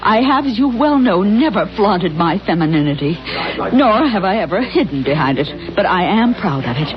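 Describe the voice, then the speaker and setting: An actress playing a proud, outspoken but warm-hearted bank employee, emphatic and familiar, in a 1970s radio drama. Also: female voice, medium pitch, very animated, normal speed, muffled recording, a crowd in the background, natural voice. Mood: indignant.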